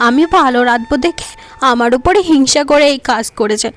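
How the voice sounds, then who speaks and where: A woman's voice: high-pitched, fairly quick, lightly acted. A woman voicing a vain, scheming young wife in a cartoon folk tale, who talks in a boastful, mocking way. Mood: protesting. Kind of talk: animated folk tale voice acting.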